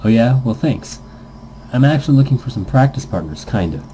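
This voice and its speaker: A man's voice: mid-range voice, lively intonation, a little fast, warm tone, slight background noise, close to an everyday voice, poor audio. A man voicing an eager young male gamer in a visual novel, casual, chatty and a little nervous as a recruiter. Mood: eager, friendly.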